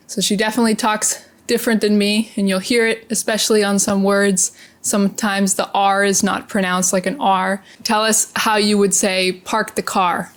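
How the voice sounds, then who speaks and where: American woman hosting, medium-pitched voice. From Maine, USA. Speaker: host of an English-learning channel. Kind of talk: casual interview and explanation for learners.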